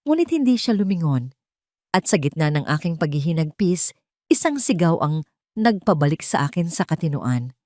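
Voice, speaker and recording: A Filipino woman narrates a dramatic audio story in a low voice. Her delivery is solemn and emotive, as scripted first-person storytelling. The sound is dry and close, with no room around it. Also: very animated, moderate pace, darkish tone, no echo.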